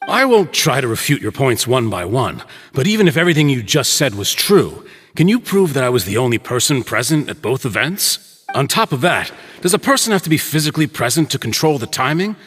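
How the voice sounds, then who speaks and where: A middle-aged merchant villain in a dramatic game cutscene. He is measured and condescending, feigning fatherly hurt in a lively, swooping delivery, with faint music underneath. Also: mid-range voice. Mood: wounded composure. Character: smooth-talking older businessman villain, patronizing, feigned fatherly hurt.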